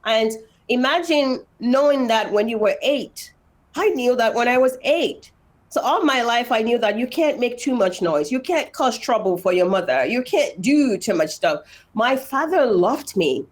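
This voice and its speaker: A woman's voice: a little high, lively intonation, measured pace. A woman in her thirties from Nigeria with a Nigerian accent, telling her life story earnestly as an interview guest. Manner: earnest, emphatic, repeats phrases for weight.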